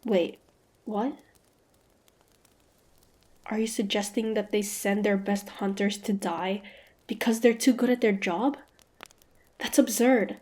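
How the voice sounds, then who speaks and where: A woman playing a wary, sharp-tongued young demon hunter with a prickly but grudgingly softening manner, in a fantasy roleplay audio drama. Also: medium pitch, steady tone, slow, long pauses, natural voice. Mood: indignant. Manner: guarded, sharp-tongued, tsundere.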